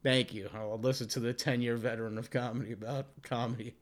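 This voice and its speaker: A man's voice: mid-range voice, flat delivery, quick pace. A man in his forties hosting a comedy podcast, chatting casually in a profane, rambling way. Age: 40s. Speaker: podcast host.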